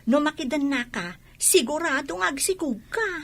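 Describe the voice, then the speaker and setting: A high, sharp, blunt girlfriend. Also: expressive, normal speed, creaky, full character voice. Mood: blunt, scolding. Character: blunt, scolding young female friend. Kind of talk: Ilocano radio drama acting.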